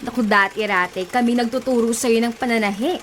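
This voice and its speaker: A woman plays an admiring, chatty factory co-worker in a radio drama. Her voice is fairly high, over faint machinery noise. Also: brisk, lightly acted. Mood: impressed, friendly.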